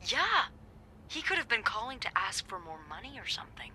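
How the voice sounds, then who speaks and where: An actress playing a breezy, playful receptionist and nosy confidante in a video game. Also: female voice, on the high side, big pitch swings, a little fast, pushed and bright, breathy edge, exaggerated voice. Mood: playful, intrigued. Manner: playful, conspiratorial.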